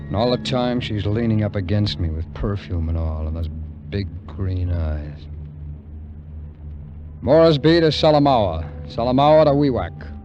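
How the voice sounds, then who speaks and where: An actor playing a weary, hard-boiled American adventurer in a 1950s radio drama, telling the story in terse, wry phrases. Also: male voice, fairly low pitch, lively intonation, measured pace. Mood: brooding, tense. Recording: muffled vintage radio recording with music.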